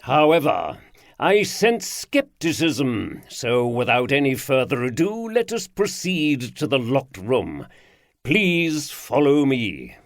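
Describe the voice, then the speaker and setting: A man puts on a self-satisfied aristocratic lord in a storybook, drawling in a rich baritone. He announces slowly and grandly, his pitch swooping up and down. The sound is dry and close. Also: sing-song, no room sound. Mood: assured. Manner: slow, grand, theatrical announcing. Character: pompous aristocratic male lord, showman.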